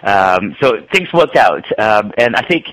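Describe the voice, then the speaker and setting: A man's voice: on the low side, sing-song, a little slow, fairly bright tone, no echo, low-quality recording. A man from Malaysia, a guest trader and author, answering interview questions casually with many ums and restarts.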